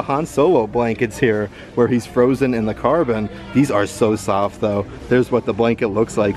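An American man with a medium-pitched voice narrates casually, like a vlogger showing items to viewers. His delivery is upbeat and enthusiastic, in a show-and-tell manner. Music plays clearly behind his voice. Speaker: shopping vlogger. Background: background music.

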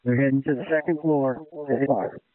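A man's voice: medium pitch, steady tone, moderate pace, crowd noise. A man reporting as a fire division supervisor over a two-way radio. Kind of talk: fireground radio status reports. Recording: tinny band-limited two-way radio traffic.

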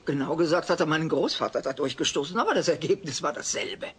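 A woman's voice: sits low, animated, fast talker, noisy background. A woman playing a self-righteous neighbour in a dubbed sitcom, prim, indignant and melodramatic. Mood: moralising.

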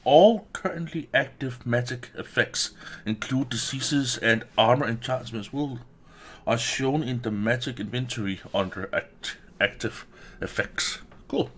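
A man giving casual, excitable, sweary commentary as he hosts a gaming let's play. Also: mid-range voice, measured pace, slight background noise. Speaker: gaming video host. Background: fantasy video game audio.

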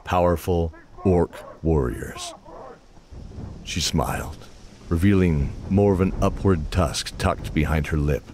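A man's voice: deep voice, takes it slowly, a little mellow, a little hiss or hum. A man narrating a scripted fantasy audio drama. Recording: voice over prominent music.

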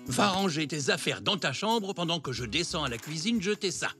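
An actor voicing a patient, kindly older grandfather zebra in a dubbed cartoon, with a gentle, encouraging manner. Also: a little high, animated, moderate pace, soft background music. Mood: explaining. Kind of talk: French dubbed cartoon acting.

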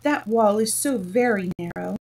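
A woman reads as a young storybook girl. She is gentle, courteous and curious, in an unforced everyday female voice. The recording sounds somewhat distant from the mic. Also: mid-range voice, moderate pace, vocal fry, natural voice. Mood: curious, anxious. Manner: gentle, polite. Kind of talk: storybook character reading.

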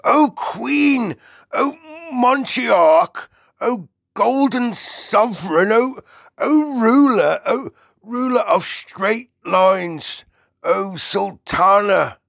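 A storybook reader voicing a friendly, childlike giant who speaks in effusive, halting, ungrammatical bursts. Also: medium pitch, lively intonation, gravelly, fairly bright tone, dry recording, studio quality, voice pushed up, full character voice. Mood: effusive, awestruck. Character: friendly giant, eager, reverent, childlike, muddling his words.

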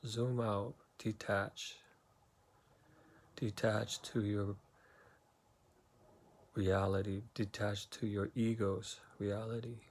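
Slow-paced man guiding a meditation. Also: lowish voice, flat delivery. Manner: slow, soothing instruction with long pauses. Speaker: meditation guide. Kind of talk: guided meditation.

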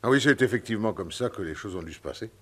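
A hesitant, fast-talking sheriff. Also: male voice, lowish voice, animated, fairly bright tone. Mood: agreeing. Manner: hesitant, stammering. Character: hesitant adult male sheriff, deferential. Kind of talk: 1950s radio drama acting.

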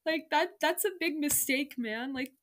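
A woman's voice: high voice, moderate pace, fairly bright tone. A young adult woman casually reading her own story aloud with self-mocking, incredulous commentary. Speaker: online reader of own stories.

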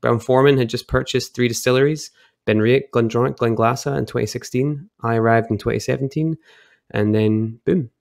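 A young man from Edinburgh with a Scottish accent, chatting and telling stories as a podcast guest over a video call, relaxed and quick to laugh. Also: medium pitch, vocal fry, dry recording, studio quality. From Scotland, Edinburgh. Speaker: guest, whisky brand ambassador. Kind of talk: casual storytelling chat.

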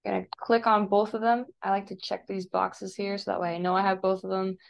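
An American woman teaching a step-by-step software tutorial in an informal, instructive way. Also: mid-range voice, flat delivery, fairly quick, gravelly. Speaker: digital design teacher. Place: voice-over for a screen recording.

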